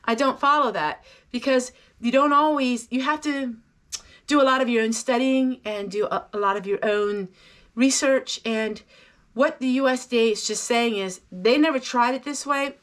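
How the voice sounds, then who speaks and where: A woman's voice: a little high, moderate pace, a bit bright. A middle-aged woman giving a casual, folksy homestead how-to talk, chatty and explanatory. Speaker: home canning vlogger.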